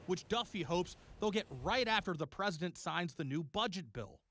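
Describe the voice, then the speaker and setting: Man, American accent, highish voice, animated news reporting, background noise. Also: moderate pace. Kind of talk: television news report, presenting to camera.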